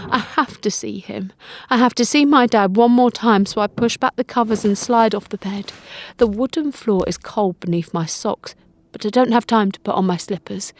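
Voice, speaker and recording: A woman's voice: mid-range voice, a little hiss or hum. A woman narrating a dramatic story as an audiobook, with an intimate, emotive delivery. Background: music.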